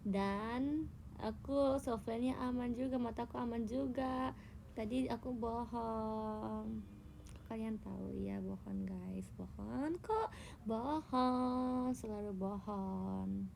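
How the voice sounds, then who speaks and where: A woman's voice: fairly high pitch, takes it slowly, vocal fry, a little mellow, a little hiss or hum. Playful young woman chatting on a livestream. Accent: Indonesian. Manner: playful, teasing, tells tall tales. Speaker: idol livestreamer. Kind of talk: casual livestream chat to viewers.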